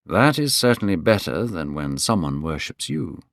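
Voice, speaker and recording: A man voicing a worldly, teasing gentleman in a storybook reading, slow and musical in his delivery. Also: low-pitched, big pitch swings, gravelly, no room sound, studio quality, exaggerated voice. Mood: languid, teasing. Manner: slow, drawling, cynical.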